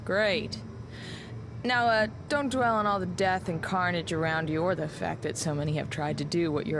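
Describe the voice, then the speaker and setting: A woman's voice: deep voice, creaky, background noise. A woman playing a morbid, awkward, pessimistic support staffer in a video game, rambling dryly. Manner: rambling, dryly gloomy, hesitant. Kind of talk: video game character dialogue.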